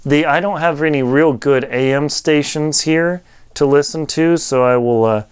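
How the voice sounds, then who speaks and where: A man giving a casual, unscripted product review, talking in a halting way as he thinks aloud while demonstrating. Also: a little high, clean tone.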